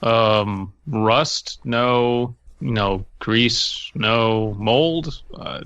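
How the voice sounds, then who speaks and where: Bright-voiced man, dry close recording. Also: medium pitch, very slow, clean tone, dry recording, lo-fi sound. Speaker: podcast co-host. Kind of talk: casual chat and story reading.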